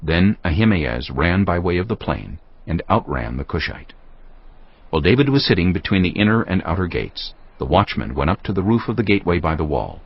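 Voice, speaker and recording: A man's voice: sits low, sing-song, brisk, breathy edge, no room sound. A man narrating a dramatized audio Bible as a scripted story. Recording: dull lo-fi audio.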